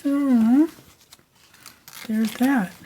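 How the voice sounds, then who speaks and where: A woman hosting a crafting channel, talking casually at home with a raspy voice, rambling from story to story with lots of fillers and no microphone on. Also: high-pitched, fairly even intonation, very slow, dark tone, a little hiss or hum. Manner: chatty, digressive, lots of fillers. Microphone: no microphone, camera mic.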